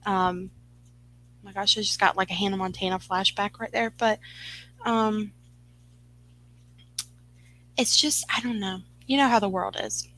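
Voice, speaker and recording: A woman's voice: mid-range voice, steady tone, a little slow, long pauses, pushed and bright, low-quality recording. A woman hosts a live stream and talks straight to her viewers. She rambles in a friendly, upbeat way, full of "like" and "um", and answers comments as she goes. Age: 20s. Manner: rambling, filler-heavy and upbeat. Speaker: livestream host.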